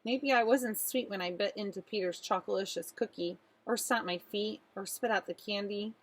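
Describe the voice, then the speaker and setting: A woman voicing an earnest little girl who tells her own storybook tale in an expressive, pleading way. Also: mid-range voice, normal speed, close to an everyday voice. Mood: wistful, puzzled. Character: earnest little girl narrator with a sweet tooth.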